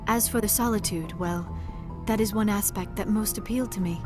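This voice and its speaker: A woman's voice: medium pitch, brisk, lightly acted. An actress playing a shy, earnest young female alien archaeologist in a video game, with formal, precise diction and a socially awkward manner. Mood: reflective, modest. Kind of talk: video game dialogue acting. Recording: music behind the dialogue.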